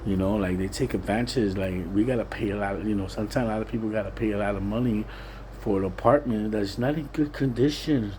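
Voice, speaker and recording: A man's voice: on the low side, fast, a little mellow, a little hiss or hum, poor audio. A plain-spoken, streetwise Puerto Rican man giving a casual, rambling, repetitive monologue. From Puerto Rican, living in Pennsylvania, USA. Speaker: vlogger.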